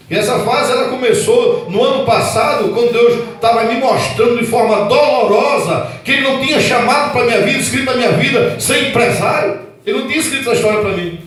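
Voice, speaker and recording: A Brazilian pastor in his forties preaching a sermon with fervor, swinging from loud outbursts to low, earnest tones. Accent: Brazilian Portuguese. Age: 40s. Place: church hall. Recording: echoey hall, mic at a distance.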